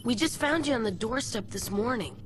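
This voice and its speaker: An actress playing an informal, wisecracking crew member in a video game cutscene, with a slangy, folksy way of talking. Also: female voice, fairly high pitch, animated, a little fast, lightly acted. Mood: breezy. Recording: game ambience noise behind the voice.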